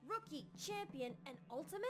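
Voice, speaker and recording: Woman as a very high, girlish little monster. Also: animated, brisk, fairly bright tone. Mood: eager. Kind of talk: cartoon parody dub.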